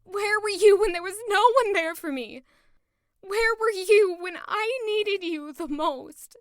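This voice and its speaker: A woman's voice: very high-pitched, unhurried, no room sound, clean studio sound. A woman acting in an audio drama as a wounded young woman confronting her lover, emotional, accusing and pleading. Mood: accusing, heartbroken.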